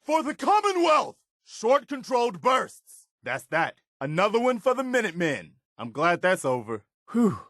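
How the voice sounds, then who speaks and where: A man's voice: sits high, huge pitch swings, moderate pace, hardly any pauses, fairly bright tone, no echo, heavily acted. A principled, battle-weary militia soldier from a video game. He is earnest and direct, with clipped military phrasing and a loyal streak. The sound is very dry, with no room around the voice. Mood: commanding, determined. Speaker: video game companion character. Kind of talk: scripted game dialogue and combat barks.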